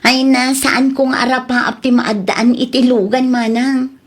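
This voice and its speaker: A woman's voice: high-pitched, little pitch movement, unhurried. A woman playing a nosy, sceptical younger neighbour in an Ilocano comedy radio drama, with an Ilocano Filipino accent. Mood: curious, doubting.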